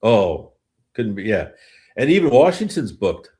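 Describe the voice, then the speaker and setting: A man's voice: fairly low pitch, very animated, measured pace, a little mellow. A middle-aged man hosting a sports podcast, talking hockey casually and thinking aloud as he goes. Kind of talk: casual hockey talk and question answering.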